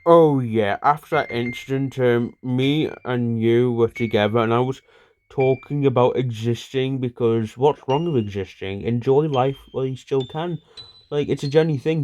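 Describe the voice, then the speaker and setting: A man's voice: medium pitch, a little mellow, natural voice. Rambling, bickering cartoon buddy voiced by a man. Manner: rambling, repetitive, conversational. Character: bickering, sweary cartoon buddy reminiscing about mishaps. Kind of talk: casual improvised-sounding cartoon dialogue.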